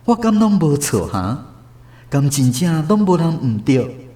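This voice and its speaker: A man with a Taiwanese accent reading literary narration for a radio drama, in an expressive storytelling manner. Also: on the high side, a little slow.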